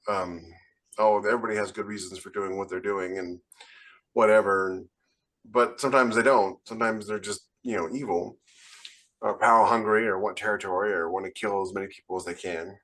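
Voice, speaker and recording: Low-pitched American man, casual and blunt. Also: a bit bright. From United States. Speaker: podcast co-host, writer. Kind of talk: casual panel discussion.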